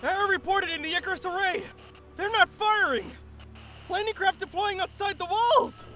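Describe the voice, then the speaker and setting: An actor plays a jumpy young soldier in a sci-fi war drama. The voice is extremely high and piercingly bright, frantic with fear. Faint music plays behind. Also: moderate pace. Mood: frantic alarm. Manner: frantic, stammering under fear.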